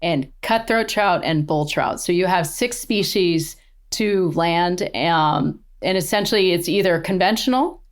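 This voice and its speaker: A middle-aged woman with a somewhat low voice, chatting as a podcast guest. She tells her angling stories with eager energy and laughs easily. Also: unhurried, clear, resonant tone. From born in Australia, raised in the eastern United States. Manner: enthusiastic storyteller, laughs often. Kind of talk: casual interview chat.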